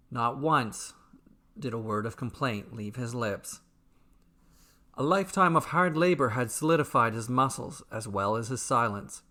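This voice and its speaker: A man reading a scripted fantasy story as its storyteller, in measured, dramatic, deliberately broken phrases. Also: mid-range voice, bright, forceful tone. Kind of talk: scripted fantasy bedtime narration.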